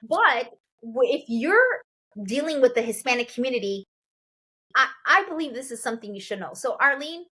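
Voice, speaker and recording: Bright, high-voiced woman host in her forties. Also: moderate pace, husky. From Chicago. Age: 40s. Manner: lively, repeats and echoes her guest. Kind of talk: casual interview chat.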